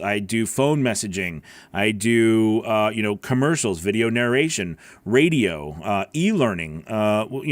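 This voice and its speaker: A man's voice: medium pitch, no room sound. A man hosting a casual livestream Q&A as a voice-over coach, rambling with many fillers and restarts.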